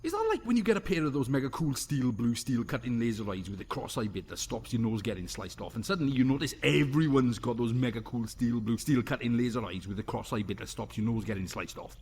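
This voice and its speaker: A man's voice: mid-range voice, animated, quick pace, hardly any pauses, a little mellow, close to an everyday voice. A British man playing a dim, cheerful cyborg research officer who rambles earnestly and gets confused in a sci-fi comedy audio drama. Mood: cheerfully clueless. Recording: faint background noise.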